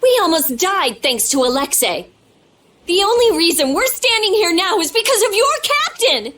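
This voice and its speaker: Very high-pitched earnest young boy. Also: sing-song, normal speed, fairly bright tone, exaggerated voice. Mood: emotional, determined. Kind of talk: video game voice acting.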